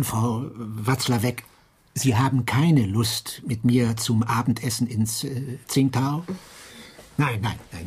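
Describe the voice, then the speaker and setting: Husky, fussy, awkward insurance man. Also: medium pitch. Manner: hesitant, stammering, fussily formal. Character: awkward, agitated, suspicious male insurance clerk. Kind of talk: radio drama acting.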